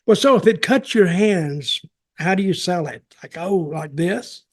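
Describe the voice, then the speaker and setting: An older man speaks in a somewhat high-set voice, spinning stories casually. His delivery wanders along in a folksy, wry fashion, like a veteran recalling old days on a podcast. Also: expressive, unhurried. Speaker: podcast host, former wrestling performer. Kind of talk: casual anecdotal storytelling.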